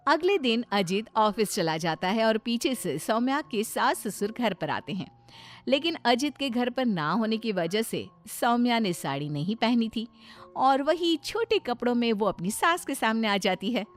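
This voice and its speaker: A woman reading scripted narration for an animated story. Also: fairly high pitch, unhurried.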